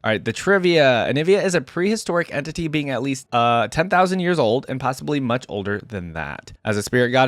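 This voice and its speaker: A man's voice: mid-range voice, no echo, studio quality. A man hosting game reaction commentary, talking and reading aloud in an informal, easygoing way. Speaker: host of a game lore reaction channel.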